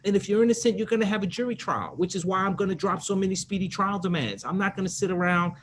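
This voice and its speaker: A man's voice: sits high, fast, fairly bright tone. A middle-aged man speaking as an attorney on a panel, blunt, colloquial and emphatic. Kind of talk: spontaneous, argumentative panel talk. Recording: noisy background ambience.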